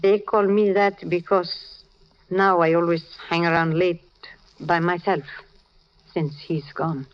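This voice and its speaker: An actress playing a tough but generous, grieving Latin American woman with a Spanish accent, brisk and knowing, in 1940s radio drama style. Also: lowish voice, fairly even intonation. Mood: wistful. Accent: Spanish-accented English, Latin American. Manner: brisk, bossy, knowing, with simple foreign phrasing. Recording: dull, lo-fi old radio recording.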